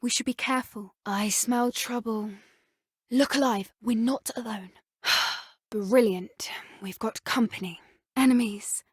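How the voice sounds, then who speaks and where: A woman's voice: a little high, slow, slightly rough, no echo, clean studio sound. A woman with an English accent playing an earnest, plucky teenage schoolgirl witch who loves creatures, speaking politely in video game dialogue. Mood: alert, urgent. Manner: polite, earnest, softly eager. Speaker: video game character voice actor.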